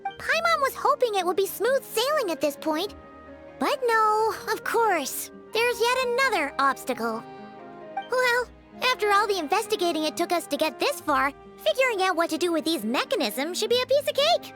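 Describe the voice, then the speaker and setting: A tiny, bubbly fairy sidekick from a video game, squeaking along at a very high pitch. Her voice is sharp and bright, and it swoops up and down with lively energy. Faint game music sits under her lines. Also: normal speed, full character voice. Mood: chipper. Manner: speaks of herself in the third person, bubbly and exclamatory. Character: tiny chirpy female fairy companion, excitable.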